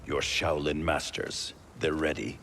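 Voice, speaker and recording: A man's voice: very deep voice, level tone, measured pace, fairly bright tone, a little hiss or hum, heavily acted. A man playing a grim, suspicious, commanding warrior in a video game, speaking in a stern, formal way. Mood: grave. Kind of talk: dramatic video game acting.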